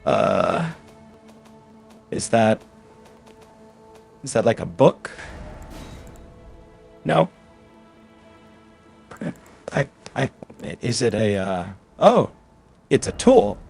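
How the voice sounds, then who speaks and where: A man's voice: mid-range voice, sing-song, very slow, creaky, long pauses, darkish tone, faint music behind. A man casually commenting aloud as he plays through a video game on stream. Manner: chatty, reacting aloud as he plays.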